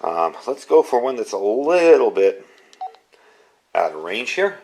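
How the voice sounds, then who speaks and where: A man's voice: fairly low pitch, sing-song, unhurried, darkish tone, a little hiss or hum. Middle-aged American man, casual reviewer. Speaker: product reviewer, amateur radio hobbyist. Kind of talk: casual product review to camera.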